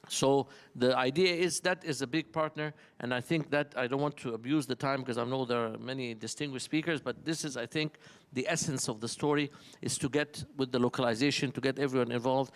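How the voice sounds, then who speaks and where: A man's voice: medium pitch, a little fast. A man with an Egyptian accent, a native Arabic speaker, giving earnest impromptu panel remarks in short rhetorical phrases. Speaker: summit panel speaker, senior official.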